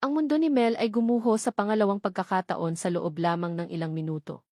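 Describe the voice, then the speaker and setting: A woman's voice: mid-range voice, fairly even intonation, quick pace, darkish tone, no room sound. A woman with a Filipino accent reading a scripted romance story as a dramatic audiobook narrator.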